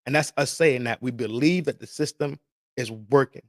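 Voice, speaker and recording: Male podcast host, blunt and profane, emphatic rant delivery, mid-pitched, dry recording. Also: moderate pace, no room sound. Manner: profane, emphatic, repetitive, street slang. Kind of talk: casual opinion rant.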